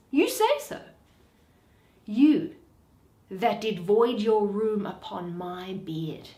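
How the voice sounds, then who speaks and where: A woman playing a shrewd, aggrieved male moneylender in a Shakespeare reading, with emphatic, theatrical, deliberate delivery. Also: fairly high pitch, mellow, reverberant. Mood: bitter, aggrieved.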